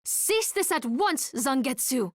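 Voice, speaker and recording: Firm, earnest, higher-voiced ally. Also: female voice, big pitch swings, slow pace, dry recording, clean studio sound, heavily acted. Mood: stern, rebuking. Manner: commanding, earnest, formal. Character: firm, earnest, protective female village ally. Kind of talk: video game cutscene acting.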